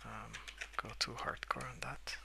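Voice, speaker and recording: A young adult man softly rambling in a breathy half-whisper for ASMR, relaxed and unhurried, close to the microphone. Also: medium pitch. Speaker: ASMR video creator. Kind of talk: soft-spoken ASMR rambling while colouring.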